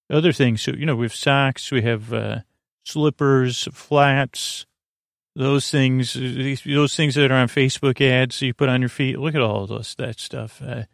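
A man with a creaky voice hosting a sleep podcast in a soothing, meandering monologue full of halting starts and tangents. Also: medium pitch, dry recording, clean studio sound. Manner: halting, digressive, many false starts and filler words, soothing.

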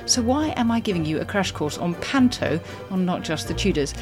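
Woman podcast host, measured and articulate, clear music playing under her. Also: lowish voice, expressive. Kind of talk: scripted introduction and interviewing.

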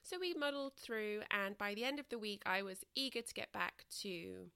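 A woman's voice: medium pitch, normal speed, creaky, pushed and bright, smooth, no room sound, clean studio sound. Chatty congested British-raised woman podcast host. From Britain. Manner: chatty and candid, many ums and self-corrections. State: congested, a little croaky. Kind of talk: casual solo podcast monologue.

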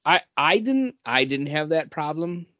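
A man's voice: fairly high pitch, very animated, unhurried, sharp tone, dry recording, dull audio. A man co-hosting a podcast, talking casually as he reviews and discusses a book with friends. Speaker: podcast co-host.

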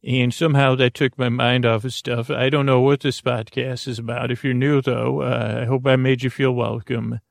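A man hosting a bedtime podcast, talking in a creaky voice and wandering through tangents with many fillers. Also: medium pitch, level tone, moderate pace, few pauses, no echo. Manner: meandering, full of tangents and fillers. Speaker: podcast host. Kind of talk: rambling bedtime podcast intro.

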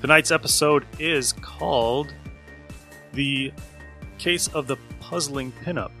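A man's voice: medium pitch, slow pace, pushed and bright, faint music behind. A man hosting a podcast, introducing the show in a casual style. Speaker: podcast host.

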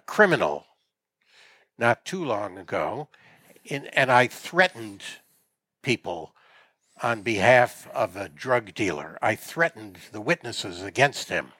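An older male actor reflects in an interview, speaking at an unhurried pace. He is hesitant and searches for words as he goes. His voice sits at a middle pitch and the recording is dry, with no room sound. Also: bright, forceful tone, dry recording.

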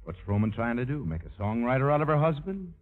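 A man's voice: medium pitch, sing-song, fast talker, lightly acted. A man acting a sardonic husband, tinny old radio. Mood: bitter. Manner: sardonic, self-pitying, slangy. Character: bitter, wisecracking, jealous struggling songwriter husband. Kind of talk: 1950s radio drama acting and wry narration. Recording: muffled, tinny 1950s radio recording, faint music.

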